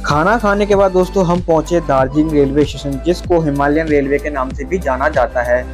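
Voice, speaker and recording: A man gives a travel voice-over in a slightly high voice. He sounds informative and friendly, like a tour guide. Faint music plays under his narration. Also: fairly quick.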